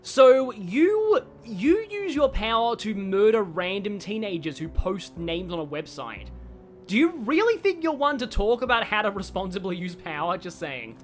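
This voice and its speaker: A woman's voice: medium pitch, very animated, moderate pace. A woman giving casual, rambling live commentary on a game, chatty and wry. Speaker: game streamer. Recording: game music behind.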